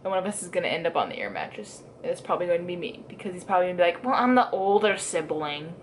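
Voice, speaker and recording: A woman's voice: lowish voice, expressive, racing, slight background noise. A teenage girl vlogging in a casual, chatty and giggly way, filming herself at arm's length around a resort hotel. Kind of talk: casual vlog chat. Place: resort hotel room and grounds. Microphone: camera held at arm's length.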